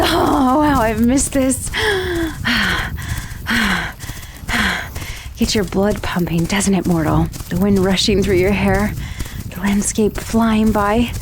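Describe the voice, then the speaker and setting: A woman's voice: medium pitch, background noise. A woman playing a friendly, chatty dullahan, a magical severed head, in an ASMR roleplay with casual, hesitant asides. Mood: excited, exhilarated. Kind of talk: ASMR roleplay acting, addressing the listener.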